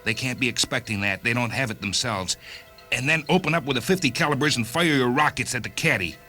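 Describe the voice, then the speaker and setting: A man's voice: mid-range voice, quick pace, bright, forceful tone, natural voice. An actor playing a determined male hunter giving terse orders to his car in a science fiction story, music behind him. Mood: urgent, commanding. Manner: terse, commanding.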